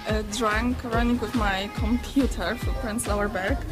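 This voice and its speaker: A woman with a Polish accent speaks in a slightly high voice, teasing and a little hesitant as she works through a small card of farewell notes. Music plays clearly beneath her. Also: fairly even intonation, a little slow. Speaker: colleague giving a farewell message. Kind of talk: casual farewell message to camera.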